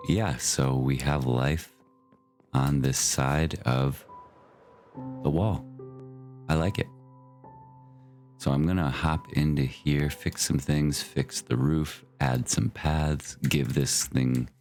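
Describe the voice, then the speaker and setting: A man's voice: rumbling low, fairly even intonation, takes it slowly, pauses often, a little mellow, faint music behind. A man hosting his own channel, giving easygoing, chatty commentary on a Minecraft build, with plenty of loose fillers. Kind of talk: casual gameplay commentary.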